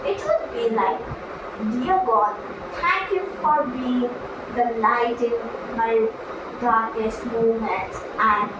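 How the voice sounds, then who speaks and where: A woman voicing an earnest, devout farmer as a puppet guest in a scripted show, with halting, deliberate phrasing. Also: on the high side. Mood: reverent, earnest. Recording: very echoey room, distant mic, noisy.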